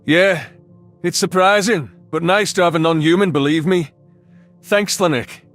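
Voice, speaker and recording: Man doing a blunt, high-voiced alien marsupial. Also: measured pace, fairly bright tone, faint music behind. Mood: guarded gratitude.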